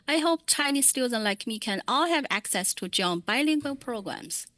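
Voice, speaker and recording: Woman, fairly high voice, dry close sound, measured interpreter phrasing. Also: lively intonation, a bit bright, no echo. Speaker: Mandarin interpreter. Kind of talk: consecutive interpreting at a public meeting.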